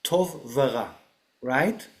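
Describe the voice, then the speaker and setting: A man's voice: mid-range voice, lively intonation, drawn-out words. A man talking through spiritual ideas on a podcast, reflective and explanatory, often checking in with "right?". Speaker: podcast guest. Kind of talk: spiritual discussion.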